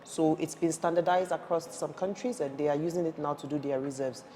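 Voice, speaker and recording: A woman in her thirties with a low voice, from Ghana and raised in Nigeria, recalling her path into the energy industry. She talks in a lively, conversational way, restarting her sentences often. Her voice rings with a long reverb over a faint hiss of noise. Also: brisk. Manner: animated storytelling with frequent restarts and fillers. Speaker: guest, energy industry professional. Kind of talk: conversational interview.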